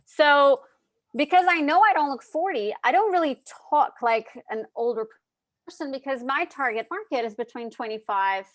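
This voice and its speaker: A woman's voice: sits high, expressive, moderate pace, no echo, studio quality. A woman in her thirties, a guest in an interview, giving chatty advice with lots of fillers. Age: 30s. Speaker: guest, dietitian and hypnotherapist. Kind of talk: casual interview chat.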